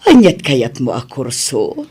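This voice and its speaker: A woman's voice: rumbling low, huge pitch swings, a little slow, warm tone, breathy edge, heavily acted. A woman playing a caring, encouraging middle-aged mother in an Ilocano radio drama, tender and coaxing, with an Ilocano accent.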